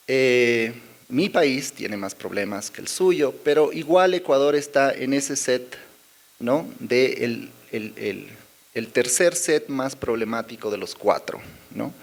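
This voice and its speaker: A man with a Bolivian accent presenting research charts at a conference, with many pauses, fillers and little tag questions. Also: medium pitch. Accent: Bolivian Spanish. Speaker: conference speaker. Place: conference hall.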